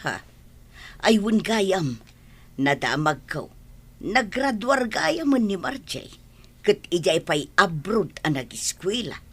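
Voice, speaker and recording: A woman playing a concerned, caring older mother in a radio drama, with a husky voice. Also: mid-range voice, sing-song, slow pace, pauses often, exaggerated voice.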